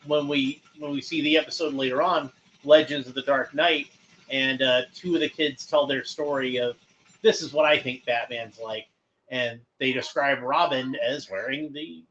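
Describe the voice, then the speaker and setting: A man hosting a watchalong, giving casual fan commentary in a halting, conversational way, thinking aloud as he goes. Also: medium pitch, fairly even intonation, fairly bright tone. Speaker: host of a cartoon watchalong commentary. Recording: dull lo-fi home recording, background noise.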